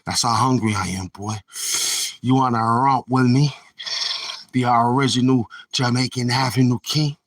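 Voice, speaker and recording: A man's voice: medium pitch, steady tone, a little slow. A man hosting his own channel in a boastful, taunting livestream monologue, swaggering and repeating himself. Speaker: YouTube channel host.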